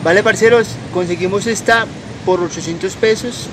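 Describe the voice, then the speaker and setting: Young Bogotá vlogger, slightly high voice, casual street chat to camera, loud music bed. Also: male voice, normal speed. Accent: Bogotá Colombian Spanish. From Colombia. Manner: chatty, calls viewers parceros. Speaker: cycling vlogger. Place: outdoors on city streets. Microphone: handheld or mounted camera close up.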